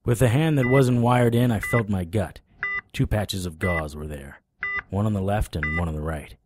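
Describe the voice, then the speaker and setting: An actor playing a world-weary supernatural private eye, narrating an audio drama in a wry, deadpan way. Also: male voice, fairly low pitch, normal speed, heavy background noise, lightly acted. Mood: wry, recollecting. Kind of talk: noir first-person audio drama narration.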